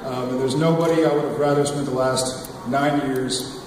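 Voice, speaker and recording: A man's voice: mid-range voice, steady tone, moderate pace. A man in his thirties, an actor on a theater stage, talking earnestly and joking in casual remarks. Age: 30s. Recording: echoey hall from far off, noisy.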